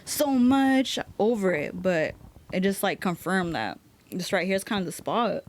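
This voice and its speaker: A woman tattoo artist guest with a Central Coast Californian accent, chatting casually and animatedly on a podcast. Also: medium pitch. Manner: animated, slangy, quick asides. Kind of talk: casual chat.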